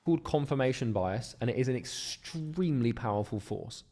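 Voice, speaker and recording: Male instructor, mellow and dark tone, steady explanatory lesson delivery. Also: mid-range voice, normal speed. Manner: steady, explains step by step with some repeated words. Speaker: course instructor.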